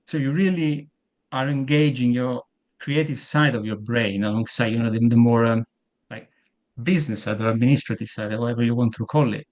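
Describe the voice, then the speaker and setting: A man with a thick Italian accent, talking over a video call as an interview guest. He often stammers and repeats the first words of a sentence before finding his way, in a voice of ordinary middle pitch. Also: fairly even intonation, brisk, a little mellow. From Italy. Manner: hesitant, repeats words when starting sentences.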